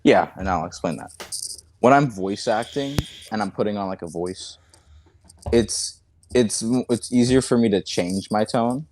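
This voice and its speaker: A man with a deep voice answering accusations haltingly, in a flat, even way of talking. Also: a little hiss or hum. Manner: hesitant, halting, monotone. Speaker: content creator answering accusations.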